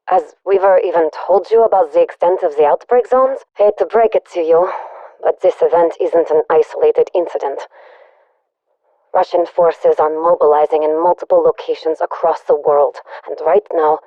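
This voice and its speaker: A woman's voice: sits low, no echo. An actress playing a wry, secretive German woman spy, confiding in a scripted video game audio log through a radio filter. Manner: wry, confiding, dryly joking. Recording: dull lo-fi radio recording.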